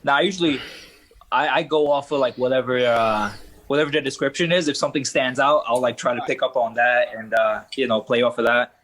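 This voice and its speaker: Young guy from Trinidad, fighter guest, easygoing, cusses casually, call audio, middle pitch. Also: fairly even intonation, fairly quick, fairly bright tone, poor audio. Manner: laid-back, swears casually, laughs often. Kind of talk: casual chat on a video call. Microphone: video call.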